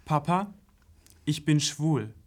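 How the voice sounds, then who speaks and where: A young man playing a calm, unfazed teenage son in a radio play, speaking in a laconic, matter-of-fact way. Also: fairly high pitch, slow pace.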